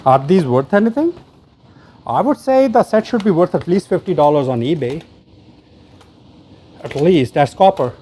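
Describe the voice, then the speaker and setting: A man's voice: a little high, lively intonation, normal speed, long pauses, warm tone, slight background noise. A man hosting a treasure-hunt vlog, talking casually and off the cuff in short fragments as he sorts through finds. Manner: muses aloud in short fragments. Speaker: host of a storage locker treasure-hunt vlog. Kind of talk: casual unscripted commentary while sorting through finds.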